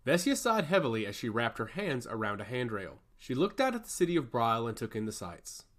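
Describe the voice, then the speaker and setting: Animated male fantasy storyteller. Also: mid-range voice, fairly quick. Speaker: storyteller, narrator. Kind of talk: scripted fantasy story narration.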